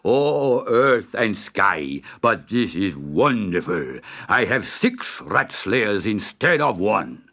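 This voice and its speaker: A man voicing a grand storybook Sultan with a pompous, drawn-out, declamatory delivery. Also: fairly low pitch, sing-song, fairly bright tone, heavily acted. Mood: delighted, grand. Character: grand, exuberant male Sultan of a storybook palace. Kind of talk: storybook character voice.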